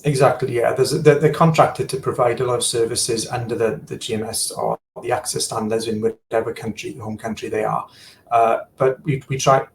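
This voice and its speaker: A man's voice: medium pitch, steady tone, a little fast, creaky, slight background noise. A man, a guest explaining his digital services in casual chat over a video call, with many fillers and repeated words. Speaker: guest, digital services supplier and former practice manager.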